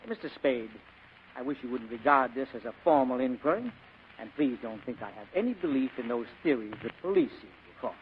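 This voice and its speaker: On a thin, noisy old radio recording, a man plays a district attorney of middling pitch. He is officious and self-important, pressing his point with formal insistence in classic radio drama style. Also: expressive, fairly quick, phone-quality sound, natural voice. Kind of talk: 1940s-style radio acting.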